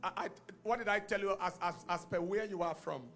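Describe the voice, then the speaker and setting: A man's voice: high-pitched, fairly even intonation, brisk, a bit bright. A man preaching and praying over a congregation, giving commands and repeating phrases for emphasis. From Nigeria. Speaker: guest preacher. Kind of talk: charismatic sermon and prayer ministry. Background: music.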